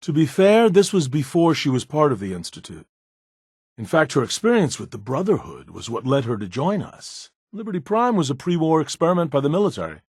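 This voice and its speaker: A man's voice: a little high, big pitch swings, brisk, darkish tone, breathy edge, dry recording, studio quality. A man voicing an earnest, bookish scientist in a video game, speaking in a polite, conversational and slightly hesitant way. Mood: explanatory.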